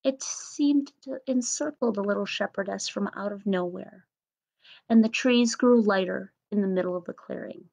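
A woman's voice: medium pitch, moderate pace, creaky, a little mellow. A woman in her thirties narrating a scripted storybook tale as storyteller, recorded on a webcam in a room at home. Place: small home room indoors. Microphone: webcam or laptop at arm's length. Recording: slightly dull webcam audio.

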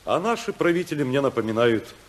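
An actor in a 1950s Soviet radio drama playing an outspoken, idealistic political rebel, husky and oratorical, with a sardonic edge. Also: male voice, mid-range voice, sing-song, a little fast, natural voice. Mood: wry, storytelling. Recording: 1950s radio play recording.

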